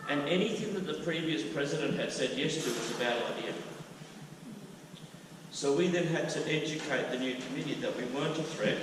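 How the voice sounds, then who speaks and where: A man's voice: a little high, brisk. Chatty man in echoey hall. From Australia. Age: middle-aged. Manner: chatty, loud and storytelling. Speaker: guest speaker. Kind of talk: church talk. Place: church hall. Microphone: handheld mic. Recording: echoey hall from far off, with noise.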